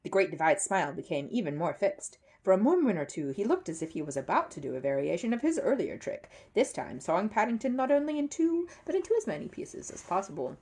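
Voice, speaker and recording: A woman reading a children's book aloud as an expressive storyteller. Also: mid-range voice, fast talker, few pauses, husky.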